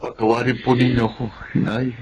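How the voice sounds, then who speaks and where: A man's voice: mid-range voice, little pitch movement, a little fast, lo-fi sound, noisy background, close to an everyday voice. A man playing a remorseful grown son in a sentimental, earnest radio drama, acting and narrating his life story. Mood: tearful remorse. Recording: music under the voice. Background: music.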